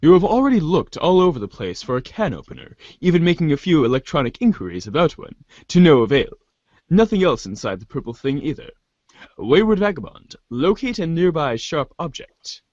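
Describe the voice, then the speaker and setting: A man's voice: on the high side, very animated, a little fast, a little mellow, no room sound, highs cut off. A man giving an amateur dramatic reading of narration, theatrical in delivery and now and then stumbling over the text. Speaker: narrator reading a webcomic aloud.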